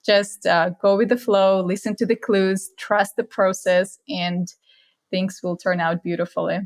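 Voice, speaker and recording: A woman's voice: mid-range voice, little pitch movement, measured pace. Russian-accented young woman, podcast guest, earnest and reflective, a little non-native phrasing. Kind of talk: casual interview chat.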